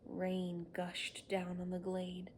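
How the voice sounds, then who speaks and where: A woman's voice: on the low side, completely flat delivery, slow pace, darkish tone, slight background noise. A woman reading a children's story aloud, narrating expressively and without hurry. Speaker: storybook reader.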